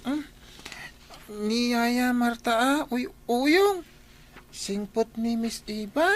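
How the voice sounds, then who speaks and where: An actress with an Ilocano accent playing a young boy who talks haltingly and innocently in a radio drama. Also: a little high, slight background noise. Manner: halting, stammering repeats.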